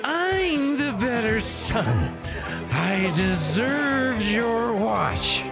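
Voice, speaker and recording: A man's voice: very high-pitched, big pitch swings, very slow, over loud music, a loud crowd, exaggerated voice. A man playing a drunk, resentful, boastful brother in an audio drama, whiny and belligerent. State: drunk (acted).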